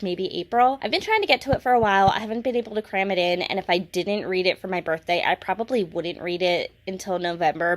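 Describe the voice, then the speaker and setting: A woman talking casually and enthusiastically to viewers as a book vlogger, stressing key words with emphasis. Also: medium pitch. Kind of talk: casual monthly reading wrap-up to camera.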